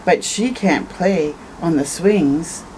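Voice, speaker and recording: A woman's voice: fairly low pitch, slow, a little mellow, slight background noise, low-quality recording. A woman voicing an earnest young schoolboy in a storybook, speaking in a literal, careful, matter-of-fact way. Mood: quiet, matter-of-fact. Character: Henry, earnest rule-minded young schoolboy seeking a friend.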